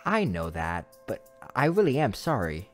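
A woman's voice: a bass voice, very animated, moderate pace. A woman voicing a nervous, apologetic young male cartoon pony who stammers and hesitates, with music behind. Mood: flustered, apologetic. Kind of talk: animated cartoon dialogue.

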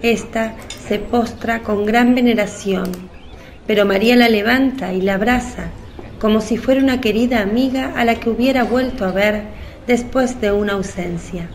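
A woman's voice: a little high, normal speed, a little mellow, slight background noise. A woman reading scripted narration for a religious radio drama.

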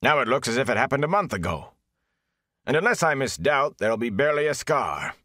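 A man voicing a calm, stern village mayor who speaks softly and rumbles. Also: brisk, no room sound, clean studio sound. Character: calm, imposing male village mayor and innkeeper.

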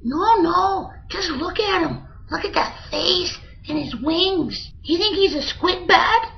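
A woman voicing an excitable, childish young male monkey in an old-time radio parody, talking in an impulsive, whiny way. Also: very high-pitched, slow, vocal fry, a little hiss or hum, exaggerated voice. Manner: impulsive, whiny, easily distracted. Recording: muffled lo-fi audio with music.

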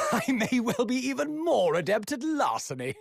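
An actor playing an eccentric, boastful great detective in a video game, theatrical and amused with himself. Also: male voice, extremely high pitch, wildly swooping pitch, measured pace, creaky, heavily acted. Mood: smug, amused. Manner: theatrical, amused, self-satisfied.

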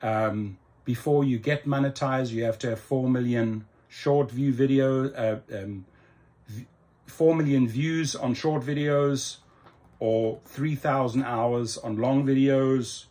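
A man's voice: mid-range voice, warm tone. A middle-aged man with a South African accent, talking casually to camera in a room to promote his channel, upbeat and salesy with lots of ums. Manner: upbeat, salesy, with many ums and slow emphatic phrases. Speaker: YouTube channel host. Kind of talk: casual promotional vlog talk. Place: indoor room in front of a computer screen.

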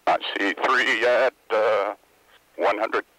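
A man serving as a shuttle astronaut, making calm, businesslike, clipped technical callouts over a crew intercom. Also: on the low side, slow, no echo. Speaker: space shuttle crew astronaut on flight deck. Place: inside shuttle cockpit during launch. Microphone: crew helmet headset intercom. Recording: noisy cockpit intercom with clicks. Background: loud cockpit noise.

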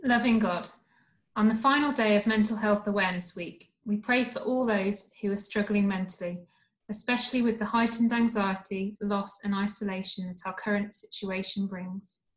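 A woman's voice: mid-range voice, steady tone, normal speed. A woman in her thirties reading an intercession from a sofa in her living room. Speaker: church member leading prayer. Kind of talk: read-out intercession. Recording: echoey living room webcam recording.

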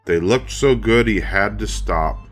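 A man's voice: fairly low pitch, a little slow, soft background music. An older man narrating a children's story from a script. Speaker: storybook reader.

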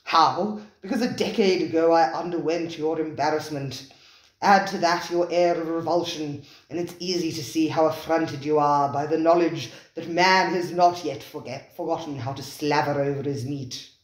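A reader doing a suave, worldly gentleman. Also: mid-range voice, moderate pace, lightly acted. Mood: knowing. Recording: roomy echoing room.

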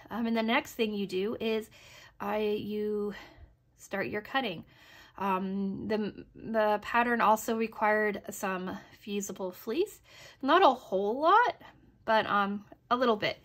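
A woman narrates a hobby review in a casual, chatty way, at an average pitch for a woman. Her voice is a little hoarse, and she feels her way through sentences, often pausing to check in with the listener. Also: fairly bright tone. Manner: hesitant, chatty, trailing off and checking with "right?". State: slightly hoarse. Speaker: sewing pattern reviewer. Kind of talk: casual review narration over project photos.

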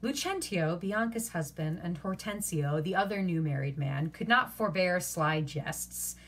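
A young woman reads a tale aloud as an expressive storyteller. Her voice sits a little low and has a husky edge, picked up by a computer mic in a small bedroom. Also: a little slow. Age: young adult. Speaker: actor and teaching artist reading a story.

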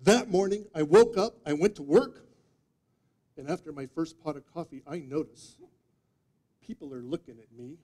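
Man in his fifties, humorous speech, fairly high mellow voice, theatrical pauses, live room. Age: 50s. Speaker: speech contest speaker. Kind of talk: humorous tall-tale storytelling speech. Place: meeting room before a live audience, indoors. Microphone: distant audience camera microphone.

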